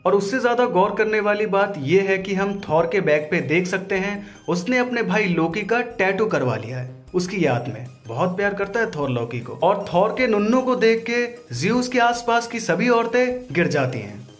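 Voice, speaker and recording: A man's voice: sits high, expressive, moderate pace, hardly any pauses, faint music behind. A man hosting a voice-over breakdown in an informal, slangy way, as commentary for viewers. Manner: informal, crude slangy joking. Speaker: video host.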